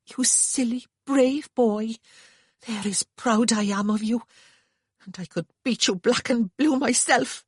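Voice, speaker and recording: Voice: slow, slightly rough, dry recording, studio quality. Narrator doing a trembling, tearful Welsh young woman. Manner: trembling, high, pleading.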